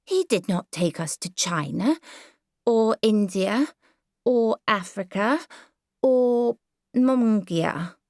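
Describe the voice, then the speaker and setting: A tired little English boy acted by a woman whines with stubborn persistence. The words come slowly and insistently, as storybook dialogue with no room sound around them. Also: a little high, lively intonation, darkish tone, no room sound, studio quality, voice pushed up. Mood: tired, persistent. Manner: whiny, insistent, slow. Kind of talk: dramatised audiobook character dialogue.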